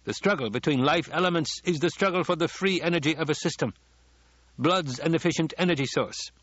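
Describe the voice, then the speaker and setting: A man voicing a calm, dangerous ecologist who lectures with precise, formal courtesy in an audiobook. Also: a little high, fairly quick, dull audio, voice pushed up. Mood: lecturing. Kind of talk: audiobook character dialogue.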